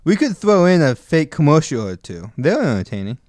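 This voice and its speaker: A man's voice: a little high, big pitch swings, brisk, a little mellow, no room sound. A man speaking as a podcast guest in light interview banter, with dry, joking delivery. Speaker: interview guest, audio drama producer.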